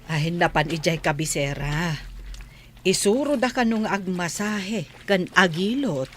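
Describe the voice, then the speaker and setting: Low-pitched, plainspoken village mother woman actor. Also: animated, a little slow, fairly bright tone, a little hiss or hum. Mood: defensive, plain. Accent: northern Philippine. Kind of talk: village radio drama acting.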